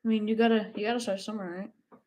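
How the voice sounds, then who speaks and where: A woman's voice: mid-range voice, fairly even intonation, quick pace, darkish tone, low-quality recording. Woman chiming in on a chat. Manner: chatty, chiming in with agreement. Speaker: co-host on a livestream. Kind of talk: casual chat.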